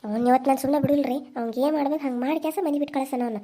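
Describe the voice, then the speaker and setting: A woman's voice: sits high, fairly even intonation, racing, dark tone, close to an everyday voice. A woman voicing a frightened young daughter-in-law who speaks in a tearful, pleading North Karnataka way. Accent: North Karnataka Kannada.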